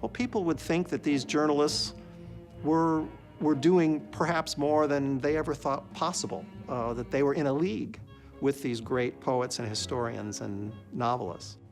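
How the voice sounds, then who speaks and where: A man's voice: fairly high pitch, steady tone, moderate pace, soft background music. A man speaking reflectively in a documentary interview.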